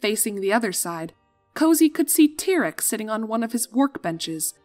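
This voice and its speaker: A woman reading fan fiction aloud as an expressive storyteller. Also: a little high, moderate pace. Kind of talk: expressive scripted story narration. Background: music.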